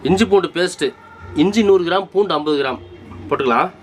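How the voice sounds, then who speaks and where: Male recipe host, slightly high voice, quick delivery, informal step-by-step instruction. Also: a little hiss or hum. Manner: colloquial, step-by-step instructing, repeatedly pointing things out to viewers. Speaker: cooking video host. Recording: loud background music. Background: music.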